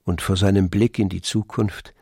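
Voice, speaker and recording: Lowish male radio-play narrator. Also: steady tone, moderate pace, mellow, slightly rough, no room sound, clean studio sound.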